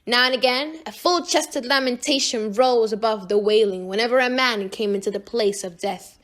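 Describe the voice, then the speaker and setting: High-voiced woman reading a story aloud. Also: normal speed, fairly bright tone. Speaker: audiobook narrator. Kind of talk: expressive amateur audiobook narration.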